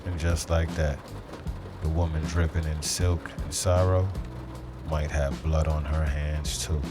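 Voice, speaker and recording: Very deep-voiced brooding noir detective played by a man. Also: little pitch movement, unhurried. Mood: brooding, measured. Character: brooding hardboiled male police detective. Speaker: detective narrator. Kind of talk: noir audio drama narration. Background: music.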